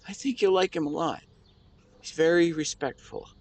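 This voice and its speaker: A man playing a grieving, tender husband in an intimate audio drama monologue, speaking haltingly and earnestly to his late wife. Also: on the high side, a little mellow, natural voice. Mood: gentle, wistful. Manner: halting, earnest, emotional delivery with pauses. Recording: outdoor ambience with birdsong behind. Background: birdsong and nature ambience.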